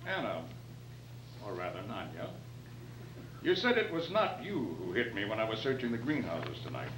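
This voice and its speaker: An older man playing a composed, shrewd private detective in a radio play, reading in a measured, questioning way. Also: fairly low pitch, big pitch swings. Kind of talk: radio play read from script. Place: function room, live staged reading. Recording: roomy, distant mic, noisy humming tape.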